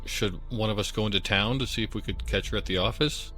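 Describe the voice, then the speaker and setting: A man's voice: lowish voice, quick pace, sharp tone, faint music behind. A man acting in an audio drama as a wry, easily baffled buddy with a casual manner. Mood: concerned.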